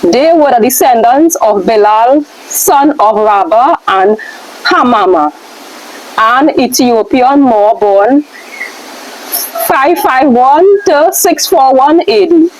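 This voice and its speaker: A woman reading aloud from a book as one of the readers, with a somewhat halting delivery. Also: high voice, lively intonation, rough recording. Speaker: reader in a question-and-answer scroll reading.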